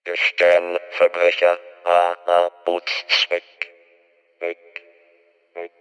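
A deep-voiced man making flat, deliberate, clipped announcements of chapter titles in an audio drama. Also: clear, resonant tone, big-room sound, soft background music, full character voice.

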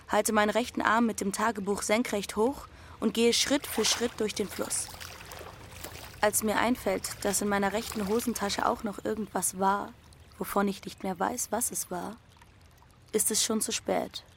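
A woman's voice: medium pitch, steady tone, normal speed, a little hiss or hum, close to an everyday voice. A woman playing a defiant teenage runaway girl who narrates her journey matter-of-factly in an audio drama. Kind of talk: first-person literary narration in audio drama.

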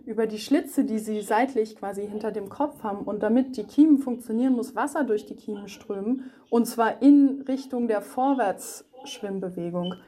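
A woman's voice: fairly high pitch, normal speed, few pauses, dark tone. A woman, an animal expert explaining things to children conversationally and matter-of-factly.

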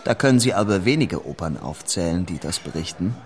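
A man's voice: low voice, expressive, fairly quick. A man playing a questioning, pointed male character in radio play dialogue. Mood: probing, sceptical. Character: probing, dryly sceptical male interviewer. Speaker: interviewer.

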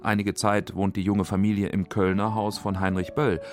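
A man's voice: lowish voice, level tone, moderate pace. A man narrating a scripted radio feature as its documentary narrator.

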